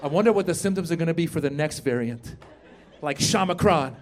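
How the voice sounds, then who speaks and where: Middle-aged male stand-up, high voice, lively crowd work, punchlines yelled. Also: fairly quick. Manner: animated, shouts punchlines, jumps into high put-on voices. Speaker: stand-up comedian. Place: comedy club stage before a live audience.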